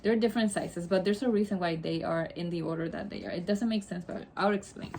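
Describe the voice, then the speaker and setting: Chatty low-voiced woman, Spanish native. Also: fast, noisy background. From Puerto Rico. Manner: chatty, rambling, many asides and self-corrections. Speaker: vlogger. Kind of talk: casual chat to camera.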